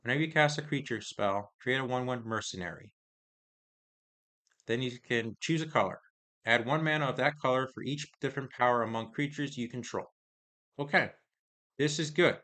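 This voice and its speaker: A man casually reviewing trading cards, reading card text aloud in a halting, hesitant way, his voice getting hoarse. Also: medium pitch, sharp tone. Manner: halting, hesitant, many pauses and restarts.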